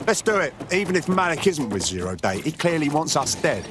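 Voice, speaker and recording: A man's voice: a little high, big pitch swings, fairly quick, music in the background. An actor playing a laconic male resistance operative, who speaks in a terse, wry way.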